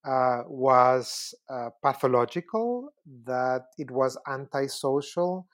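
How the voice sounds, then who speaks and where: A man in his fifties from Costa Rica, answering interview questions in a measured, deliberate way with frequent pauses. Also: medium pitch, lively intonation. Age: 50s. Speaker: guest, UN human rights expert.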